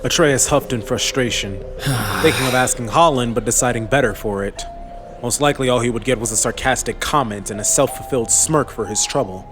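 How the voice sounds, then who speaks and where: Mid-pitched male audiobook narrator with music. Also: fairly quick. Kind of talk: scripted story narration.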